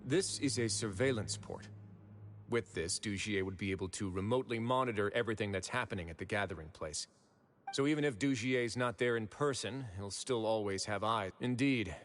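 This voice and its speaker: A man voicing a composed noble fortress warden who speaks in a calm, measured, authoritative way, in game audio with music behind. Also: lowish voice, close to an everyday voice.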